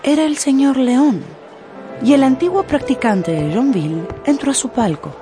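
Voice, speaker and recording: A woman's voice: high voice, lively intonation, moderate pace, warm tone. Woman narrating radio drama over music.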